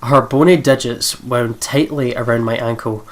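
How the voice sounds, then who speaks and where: A man's voice: medium pitch, steady tone, normal speed, low-quality recording. A man reading a visual novel aloud as a let's player, with sweary, joking asides. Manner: sweary, jokey.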